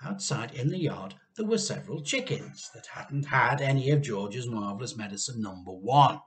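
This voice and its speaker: A man in his fifties reading a children's story aloud, animated and emphatic, drawing out key words. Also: mid-range voice, moderate pace. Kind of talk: expressive children's storybook narration. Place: small living room indoors.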